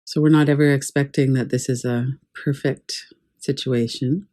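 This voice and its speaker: A woman teaching guided meditation in a calm, measured, gently instructive way. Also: a bass voice. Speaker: yoga nidra meditation teacher.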